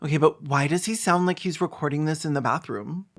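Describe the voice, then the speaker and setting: A comedic YouTube commentator with a middle-pitched voice. The delivery is mocking and sarcastic, with exaggerated reactions and crude asides. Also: fairly quick, fairly bright tone, faint music behind.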